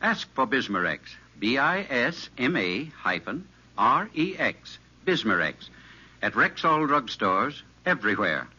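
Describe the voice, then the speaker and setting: A man's voice: mid-range voice, sing-song, measured pace, sharp tone, slightly rough. A man with an American accent reading a scripted advertisement as an old-time radio announcer. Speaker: commercial announcer. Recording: muffled old radio recording.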